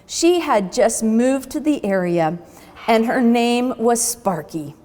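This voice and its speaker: A woman's voice: fairly high pitch, animated, slow pace, darkish tone. A woman working as a zoo educator, presenting a children's storytime in a reverberant barn. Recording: long echoing hall reverb.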